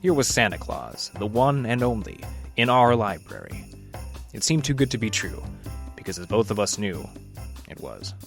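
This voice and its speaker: A hardboiled detective narrator from a noir radio play. His voice sits low and his delivery is dry, wry and clipped, with music underneath. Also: sing-song, normal speed, vocal fry. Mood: wry, reflective.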